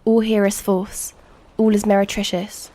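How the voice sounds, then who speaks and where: Female actor as homesick, resentful countrywoman in an audio play; monotone, mellow dark timbre. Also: medium pitch, measured pace, close to an everyday voice. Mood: bitter, homesick. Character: bitter, homesick country woman full of hatred. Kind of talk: literary radio drama acting.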